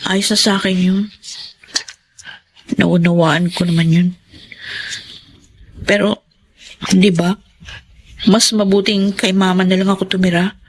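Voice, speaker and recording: An actress playing a stubborn, wounded teenage daughter in an emotional Filipino radio drama. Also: female voice, medium pitch, little pitch movement, unhurried, long pauses, husky, rough recording. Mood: resentful, subdued. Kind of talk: Tagalog radio drama acting.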